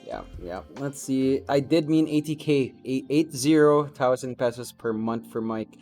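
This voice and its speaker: A man's voice: mid-range voice, lively intonation, measured pace, dark tone, faint music behind. A man from the Philippines hosting a casual podcast, easygoing as he reads chat messages and answers them. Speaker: podcast host.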